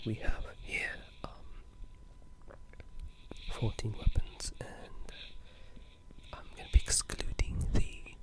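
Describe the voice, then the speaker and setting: A person speaking in a breathy, unvoiced whisper, casually discussing games in an ASMR style with a hushed, halting delivery. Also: low-quality recording. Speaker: ASMR gaming video creator.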